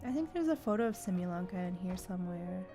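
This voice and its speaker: A woman's voice: lowish voice, lively intonation, fast. A woman livestreamer giving casual, chatty gameplay commentary and reacting aloud to the game. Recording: game music behind.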